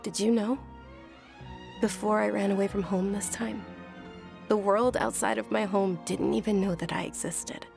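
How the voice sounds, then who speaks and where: A woman playing a gentle, frail young noblewoman in a video game, speaking softly and politely with earnest, measured delivery. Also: mid-range voice, steady tone, gravelly, music in the background, natural voice. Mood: wistful.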